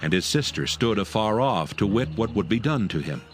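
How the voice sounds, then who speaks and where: A man's voice: fairly low pitch, lively intonation, normal speed. A man narrating scripture as storyteller in a dramatized audio production. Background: music.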